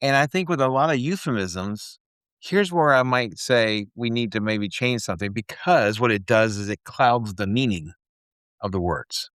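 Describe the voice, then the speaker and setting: A man's voice: medium pitch, normal speed, a bit bright, dry recording, clean studio sound. A man co-hosting a podcast, talking casually and at length, rambling a little and joking about himself. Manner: chatty, rambling, self-deprecating. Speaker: podcast co-host.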